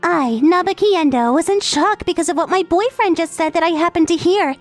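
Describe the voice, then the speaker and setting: A woman's voice: extremely high pitch, fairly quick, soft background music. A woman playing a clumsy, lovestruck teenage schoolgirl who poses as cool, earnestly narrating in an anime dub. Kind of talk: anime-style dub acting with narrated monologue.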